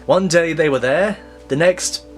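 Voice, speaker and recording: A man voicing a cocky, energetic young cartoon hedgehog hero in an audio drama, brash and playful. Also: a little high, measured pace, soft background music, natural voice. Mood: breezy.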